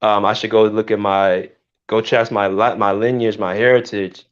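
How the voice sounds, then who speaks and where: Laid-back guy hosting a hoops podcast, deep smooth voice, kinda muffled audio. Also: normal speed. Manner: relaxed, conversational, drawling "man" and "dude". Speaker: podcast host. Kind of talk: casual livestream basketball chat.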